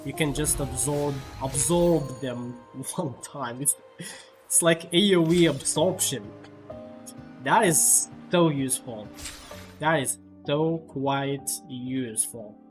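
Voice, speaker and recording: A man giving casual live gameplay commentary as a streamer, often repeating words in a halting way. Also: mid-range voice. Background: faint game music.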